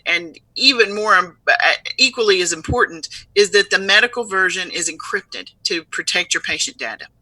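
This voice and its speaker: Bright-voiced businesswoman, dry close sound. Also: lowish voice, no echo, rough recording. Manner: upbeat, salesy, uses homely comparisons. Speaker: CEO of a speech software firm, guest. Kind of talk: casual interview over video.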